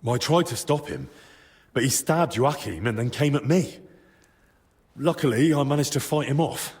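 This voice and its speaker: Young medieval hero played by a male actor. Earnest, respectful, measured delivery, medium pitch, game drama. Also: animated, a little mellow, close to an everyday voice. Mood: urgent, grave. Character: earnest, determined young man in medieval Bohemia. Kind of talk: dramatic video game cutscene acting.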